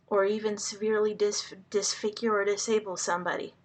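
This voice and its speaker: Slow, deliberate woman speaking earnestly. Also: medium pitch, level tone. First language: Hungarian. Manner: halting, deliberate phrasing with pauses. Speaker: vlogger addressing the public.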